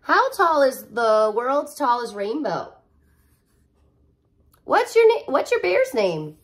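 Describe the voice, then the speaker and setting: Woman playing an eager, high-pitched little storybook girl. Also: big pitch swings, takes it slowly, heavily acted. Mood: eager, curious. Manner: bright-eyed, eager, exclaiming and questioning. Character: excitable, curious little girl from a picture book.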